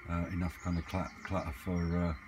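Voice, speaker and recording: A man's voice: sits low, completely flat delivery, brisk. A man chatting casually and off the cuff, hesitant as he thinks aloud in a hobby vlog. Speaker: hobbyist vlogger. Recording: clearly noisy amateur camera recording.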